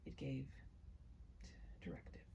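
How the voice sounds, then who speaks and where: A woman reading her own short story aloud in a hushed, deliberate way, often slipping into whispers, with slow phrasing and dramatic pauses. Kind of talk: read-aloud of a literary short story, soft and ASMR-leaning.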